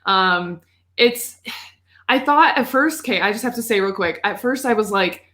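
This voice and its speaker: A woman with a bright, forward voice chats casually as a podcast co-host. She talks informally and rambles a little, at an ordinary middle pitch for a woman. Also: lively intonation, a little slow. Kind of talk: casual chat about video games.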